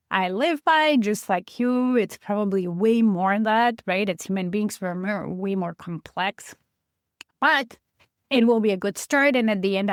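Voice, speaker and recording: A woman's voice: mid-range voice, dry recording, studio quality. Chatty woman in her forties, light Polish accent. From Poland. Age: 40s. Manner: chatty, reflective, counts through a list. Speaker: podcast host. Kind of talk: relaxed solo podcast monologue.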